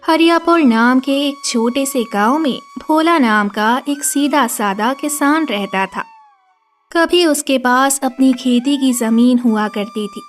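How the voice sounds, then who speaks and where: High-pitched, slow female storyteller. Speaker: narrator. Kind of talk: scripted children's story narration.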